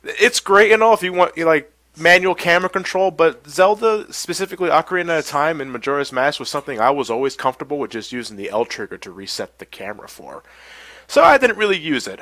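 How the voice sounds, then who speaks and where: A man streaming a game and chatting casually to a co-host, rambling with lots of fillers and animated asides. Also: a little high, no echo. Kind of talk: casual gameplay livestream chat.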